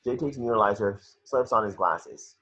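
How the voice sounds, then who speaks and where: Man, lowish pitch, rough-edged tone, scripted action narration, amateur table read, dull recording. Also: moderate pace, fairly bright tone. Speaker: stage direction narrator.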